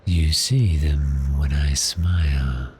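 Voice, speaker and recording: A man playing a polite, cheerful but menacing vampire in an ASMR roleplay, speaking courteously and deliberately. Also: a bass voice, fairly even intonation, darkish tone, slight background noise. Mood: calm, quietly gloating. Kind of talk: slow ASMR roleplay acting.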